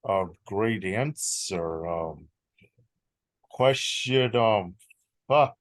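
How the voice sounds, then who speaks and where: Young male interviewer, slow and hesitant, dry close recording. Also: on the low side, expressive, no echo. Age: young adult. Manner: hesitant, many ums. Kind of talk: reading numbered interview questions.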